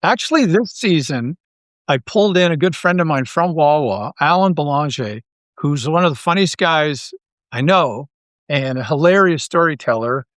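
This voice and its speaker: A man from Canada chatting casually as an interview guest, an animated storyteller who rambles and repeats his phrases. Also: fairly high pitch, normal speed, no echo. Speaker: interview guest, audio drama writer.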